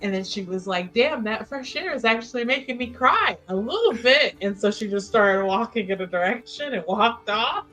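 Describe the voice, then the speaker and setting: A woman's voice: on the high side, animated, moderate pace, hardly any pauses, pushed and bright, faint music behind. A woman playing a tabletop roleplaying game, casually narrating her character's words and actions.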